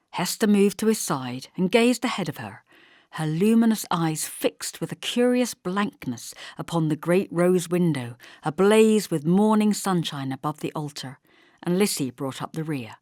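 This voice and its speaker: Low-pitched woman reading an audiobook. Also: animated, normal speed, a little mellow, dry recording, studio quality. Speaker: audiobook narrator. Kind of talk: scripted literary narration.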